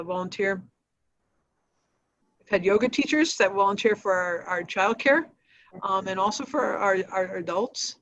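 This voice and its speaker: A woman answering questions as a nonprofit executive, explaining programs in a halting, matter-of-fact, informal way. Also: medium pitch. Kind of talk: informal spoken presentation on a video call. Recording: dull, lo-fi livestream audio.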